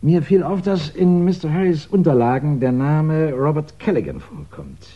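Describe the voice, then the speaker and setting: An actor playing a courteous, probing police inspector in a 1960s radio crime drama, speaking deliberately with thoughtful pauses. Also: male voice, on the high side, animated. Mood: pondering, probing. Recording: dull, band-limited 1960s radio recording.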